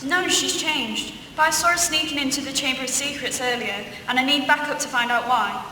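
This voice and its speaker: An echoey radio-play recording from some distance. A young woman acts out a worried, urgent schoolboy in a high, bright voice. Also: brisk. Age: young adult. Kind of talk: comedic radio play acting. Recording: echoey hall, distant mic, faint noise.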